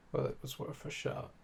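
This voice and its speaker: A man playing a calm, flirtatious, obsessive assassin under interrogation in an ASMR audio drama, teasing and deliberate, with breathy murmurs. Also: a little hiss or hum, natural voice. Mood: smug, flirtatious.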